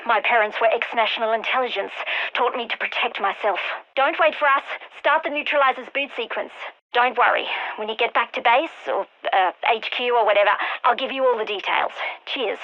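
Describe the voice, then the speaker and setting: An actress playing a brilliant, wry and determined scientist in a video game, speaking articulately in scripted lines and journals. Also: female voice, fairly high pitch, fairly quick, pushed and bright, no echo, highs cut off. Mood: brisk, wry. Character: brilliant wry female scientist, guilt-ridden, urgent and determined. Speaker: scientist character.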